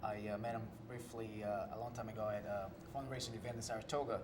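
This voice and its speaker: A man's voice: lowish voice, fairly even intonation, fast talker, background noise. A man from Ecuador with an Ecuadorian Spanish accent, a native Spanish speaker, answering interview questions hesitantly with many fillers. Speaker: guest singer-songwriter.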